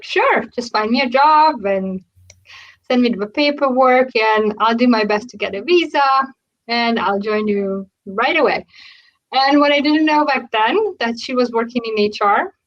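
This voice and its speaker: A woman with a fairly high voice tells personal stories as a guest in conversation. The recording is slightly dull and lo-fi. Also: measured pace, fairly bright tone. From Romania, ethnic Hungarian family. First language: Hungarian. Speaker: podcast guest, coach of engineers.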